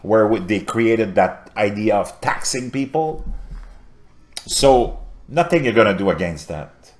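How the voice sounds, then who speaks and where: A man in his fifties from Montreal, a native French speaker with a French Canadian accent, talking straight to camera in an earnest political monologue. He swings between quiet murmurs and loud emphatic bursts. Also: lowish voice, animated, measured pace. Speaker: political video host. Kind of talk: impassioned political commentary to camera. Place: small indoor home setup with a virtual backdrop.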